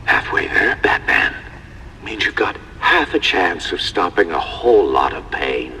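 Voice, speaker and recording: A low, menacing male villain from a video game. He taunts theatrically with a sharp edge and big swings in pitch, ringing out in a long reverb. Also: very animated, unhurried, heavily acted. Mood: menacing taunting. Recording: long reverb, faint noise.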